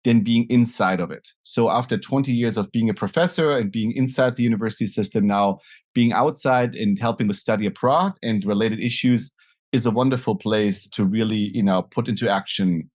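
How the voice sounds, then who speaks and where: A man's voice: on the low side, a little fast, a little mellow. A middle-aged man from Germany with a German accent, speaking thoughtfully as an interview guest with many fillers and restarts. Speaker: guest, nonprofit founder. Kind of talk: conversational interview.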